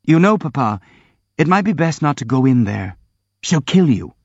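Frank teenage son, chiding and direct, high pitch, dry muffled recording; male reader voicing. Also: sing-song, moderate pace, slightly rough, dry recording, full character voice. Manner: blunt, chiding.

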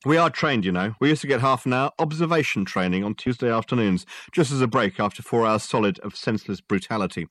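A man's voice: medium pitch, fast, hardly any pauses, no room sound, natural voice. Man putting on a weary blustering cop, threatening, dead dry recording. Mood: menacing. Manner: loud, sarcastic, threatening. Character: gruff weary male police sergeant, blustering.

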